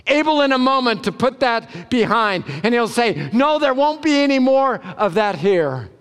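A man preaching a sermon as a pastor, emphatic, with deliberate pauses and repeated short phrases, in a large hall. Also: very high-pitched, very animated, fairly bright tone, clear, resonant tone.

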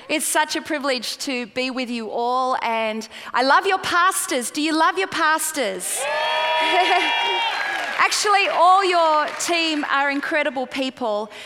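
A woman's voice: high voice, sharp tone, big-room sound, slight background noise. An Australian woman in her forties, a guest pastor giving a chatty, playful sermon and prayer and asking the crowd questions. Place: large church auditorium.

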